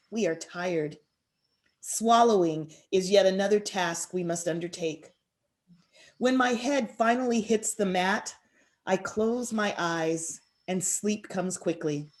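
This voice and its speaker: A woman author presenting and reading her poetry in a measured, expressive way. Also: mid-range voice. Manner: measured, deliberate, expressive delivery.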